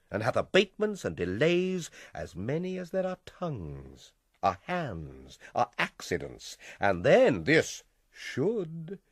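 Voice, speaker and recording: An actor playing a smooth, manipulative middle-aged king in a Shakespearean radio drama, with deliberate, theatrical delivery. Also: mid-range voice, dry recording, clean studio sound, exaggerated voice.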